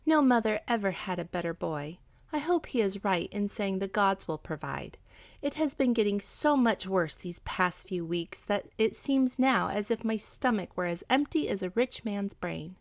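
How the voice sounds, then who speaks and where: A woman's voice: medium pitch, expressive, normal speed, dry recording, close to an everyday voice. A woman voicing a poor, fretful old widow in a storybook reading. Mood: plaintive. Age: older. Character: poor old Chinese widow, plaintive, fretful, devoted mother.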